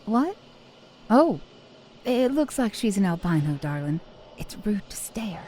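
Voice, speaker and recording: A woman playing a prim young mother in an audio drama, gently scolding in a hushed manner. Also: medium pitch, big pitch swings, unhurried, a little hiss or hum. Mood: hushed, embarrassed.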